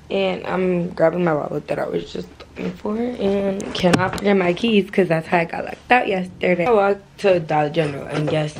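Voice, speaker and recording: A young woman chatting straight to her phone camera in her apartment, talking to viewers as y'all. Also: on the low side. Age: young adult. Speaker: vlogger. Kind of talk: casual vlog talk to camera. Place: apartment indoors. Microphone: phone camera held close. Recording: noisy phone recording.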